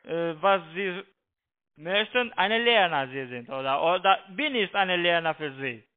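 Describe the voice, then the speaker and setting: A man with a foreign accent, not a native speaker, pushing a halting, repetitive sales pitch over the phone as a call-centre agent. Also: lively intonation. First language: not German. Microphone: telephone. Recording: tinny narrow phone line.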